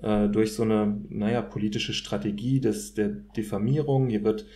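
A man speaking as an expert guest, analysing in deliberate, academic phrasing. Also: lowish voice, flat delivery, warm tone. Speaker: guest expert, political scientist. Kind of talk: analytical discussion.

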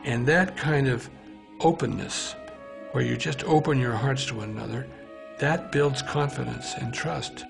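A man's voice: medium pitch, unhurried, vocal fry. A man giving interview commentary on history for a documentary. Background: music.

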